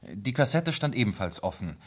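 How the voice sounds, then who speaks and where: An actor playing a dutiful police lieutenant in a German radio crime drama, formally and methodically reading out a report. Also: male voice, mid-range voice, very animated, moderate pace. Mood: methodical, sober. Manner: formal, methodical, hesitant fillers.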